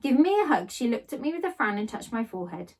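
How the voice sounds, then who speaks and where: Female storybook reader, higher voice, animated first-person narration, recorded a little distant. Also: fast. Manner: animated read-aloud delivery. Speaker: teacher reading a children's novel aloud.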